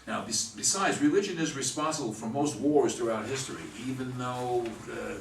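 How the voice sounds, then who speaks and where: A man's voice: mid-range voice, normal speed, natural voice. A man playing a sarcastic, jittery agnostic drunk in a staged play reading, with a hesitant, wisecracking delivery. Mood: skeptical. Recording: echoey room, distant mic, faint noise.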